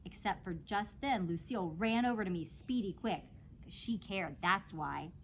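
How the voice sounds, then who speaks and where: A woman's voice: mid-range voice, moderate pace, a little hiss or hum, low-quality recording. A woman narrating a children's storybook as a teacher would, expressively and dramatically, in a childlike first person. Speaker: teacher reading aloud to children.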